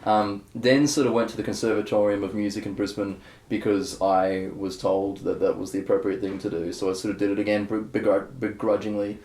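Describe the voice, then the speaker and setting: A young man with a fairly low voice chats openly in an interview, wandering through his thoughts with plenty of fillers and false starts. The room around him is echoey and hollow. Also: level tone, brisk, a little mellow. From Australia. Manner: rambling, candid, many fillers and restarts. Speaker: guest bass player. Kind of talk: casual interview chat.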